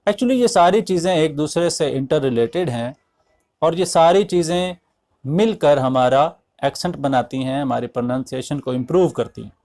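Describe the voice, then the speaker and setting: Higher-pitched Pakistani man teaching. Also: darkish tone. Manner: deliberate, explanatory, switches between English and Urdu. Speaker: English language teacher. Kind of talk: instructional lecture to students.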